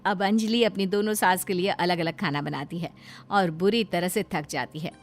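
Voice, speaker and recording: A woman's voice: on the low side, normal speed. Woman narrating a story over music. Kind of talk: scripted Hindi moral story narration.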